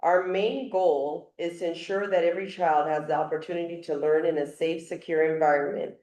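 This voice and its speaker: A woman, a teachers' union president, reading a steady, formal public comment to a school board. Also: low voice, a little fast. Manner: steady, formal, self-described loud voice. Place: school board meeting room. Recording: echoey meeting room.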